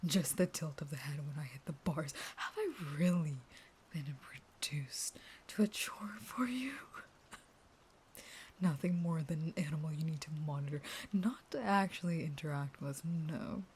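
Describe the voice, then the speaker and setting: Casting: actress as a clingy, unstable female vampire in a cage, low and slow, whispered pleading, intimate roleplay audio. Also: a little mellow, slight background noise. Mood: wounded, bitter. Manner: breathy, whispery, pleading, dramatic.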